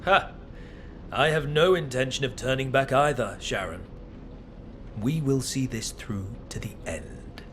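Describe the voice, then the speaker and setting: Theatrical, formal alien scholar over noisy ambience. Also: male voice, mid-range voice, lively intonation, fairly bright tone. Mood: resolute. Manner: formal, deliberate, theatrical, precise phrasing. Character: scheming alien Magister scholar, male, intense, formal. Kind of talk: video game voice acting.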